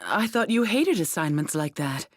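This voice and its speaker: An actress playing a stern, hard-headed princess and military commander in an English anime-dub audio drama, blunt and commanding. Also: female voice, fairly low pitch, very animated, moderate pace, dry recording, clean studio sound.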